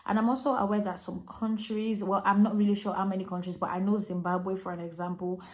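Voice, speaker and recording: A woman's voice: lowish voice, darkish tone. A young Nigerian woman, a nurse, talking directly to viewers with chatty, practical migration advice. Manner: chatty, direct, addresses viewers as you guys. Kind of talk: advice vlog to camera.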